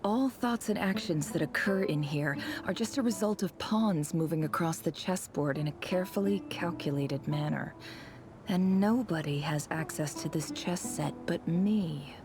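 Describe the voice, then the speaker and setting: An actress playing a sly, commanding woman boss in a video game, with a deliberate, sardonic delivery. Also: on the low side, close to an everyday voice. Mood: cool, measured. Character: sly, commanding woman boss, coolly teasing and self-assured.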